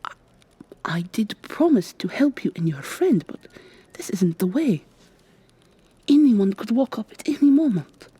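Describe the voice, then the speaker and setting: A woman's voice: a little high, lively intonation, unhurried, long pauses, husky, dry recording, heavily acted. A woman playing a weary, sardonic vampire receptionist with a secret, acting in a scripted ASMR roleplay. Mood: hushed, alarmed. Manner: polite customer-service patter, hushed urgent asides.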